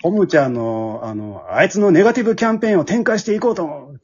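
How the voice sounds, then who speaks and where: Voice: medium pitch, animated, fast, lo-fi sound, crowd noise. Someone with a Kansai Japanese accent hosting a casual group chat. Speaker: host of an after-show talk.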